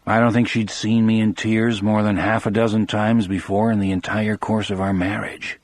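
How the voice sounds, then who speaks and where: A man with a husky voice reading scripted first-person audiobook narration. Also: fairly low pitch, level tone, normal speed, no echo.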